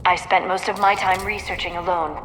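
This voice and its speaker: A woman voicing a soft-spoken, earnest and troubled female alien scientist in a cinematic video game. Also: lowish voice, level tone, normal speed, slight background noise, natural voice. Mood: earnest, reflective. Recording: long hall reverb over game music.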